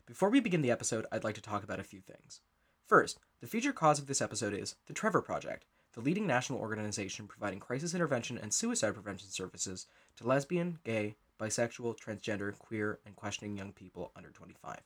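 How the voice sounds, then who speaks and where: Brisk, husky man reading an introduction. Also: fairly low pitch, big pitch swings. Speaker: writer and director introducing the show. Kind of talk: scripted introduction and announcement.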